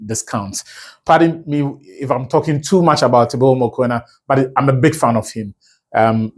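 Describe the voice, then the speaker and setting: A man's voice: medium pitch, darkish tone. Ghanaian man breaking down football. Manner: fluent, self-correcting, chatty asides. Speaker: football analyst. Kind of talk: solo football analysis video.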